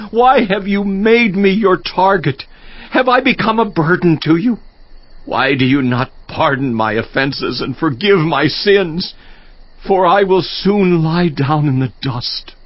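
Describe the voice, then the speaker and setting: Casting: anguished biblical sufferer, highish voice, very expressive, theatrical, pleading with God in a dramatized scripture recording. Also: male voice, no room sound, muffled recording. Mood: pleading, aggrieved. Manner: theatrical, slow, swinging from outcry to near whisper.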